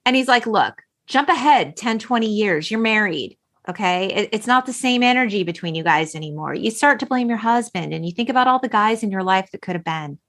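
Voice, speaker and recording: A woman's voice: mid-range voice, animated, brisk, a bit bright. A woman hosting a podcast, retelling a film in an enthusiastic, emphatic, casual way and voicing quotes as she goes. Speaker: podcast host.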